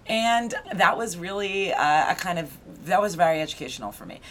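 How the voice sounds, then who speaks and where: A woman in her thirties, an author being interviewed, telling her story in a lively way with frequent restarts and "right?" tags. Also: fairly low pitch, a little fast, a little hiss or hum. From Argentina. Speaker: guest, author and education researcher. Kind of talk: conversational interview.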